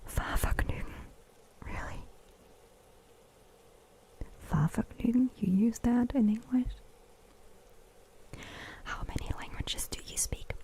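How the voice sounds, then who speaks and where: Woman ASMR streamer, whispers close to the mic, slow and mellow, replies to chat. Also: a little high, creaky, poor audio. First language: German. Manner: whispering, reading out and answering chat. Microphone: sensitive ASMR microphone.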